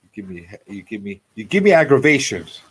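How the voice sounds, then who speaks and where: A man's voice: fairly low pitch, sing-song, brisk, a bit bright, breathy edge, poor audio. Guy in his 40s doing a live radio show, super up and down voice, says stuff twice for emphasis, casual rambling. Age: forties. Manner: repeats phrases for emphasis.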